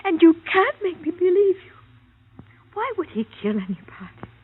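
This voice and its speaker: An American actress playing a naive, loyal and fragile wife in a radio melodrama, speaking in a plaintive, halting way. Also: female voice, extremely high pitch, very animated. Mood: defensive. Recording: tinny, narrow-band vintage radio recording.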